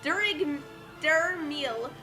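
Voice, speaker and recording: A high-voiced woman performs a fan fiction story for laughs, taking her time over it. She leans into the words with exaggerated emphasis, and music plays behind her. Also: animated, extremely slow. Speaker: dramatic reader of fan fiction. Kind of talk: theatrical comic reading of a badly written story, with banter.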